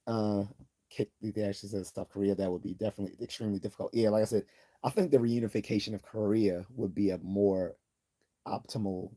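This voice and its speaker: A man's voice: lowish voice, mellow. Rambling man reading livestream chat. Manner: rambling, with frequent restarts and throat clearing. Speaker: livestream host. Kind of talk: casual livestream chat, reading viewer comments.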